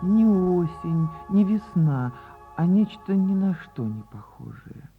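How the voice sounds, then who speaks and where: A woman reading a literary fairy tale as a theatrical radio storyteller, with dramatic pauses and drawn-out words. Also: fairly low pitch, sing-song, narrow, tinny audio, soft background music.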